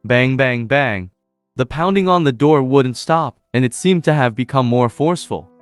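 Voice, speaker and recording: A man reads a dramatic story in an even, steady voice-over. The sound is dry and close, and soft music plays underneath. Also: medium pitch, moderate pace, no room sound. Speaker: narrator. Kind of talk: scripted story narration.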